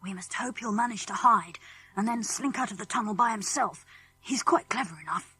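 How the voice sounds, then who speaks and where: A woman voicing a decisive, plucky schoolboy leader with a brisk, commanding manner in a children's audiobook. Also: mid-range voice, pushed and bright, slightly rough. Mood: firm, reassuring.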